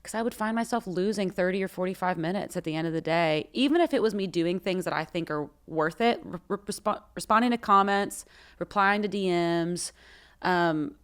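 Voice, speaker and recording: A young woman with a slightly low voice, co-hosting a podcast in a studio. She talks in an animated, casual way and tells stories with lots of energy. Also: brisk, smooth. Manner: chatty, animated storyteller. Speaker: podcast co-host, singer. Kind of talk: casual chat. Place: recording studio.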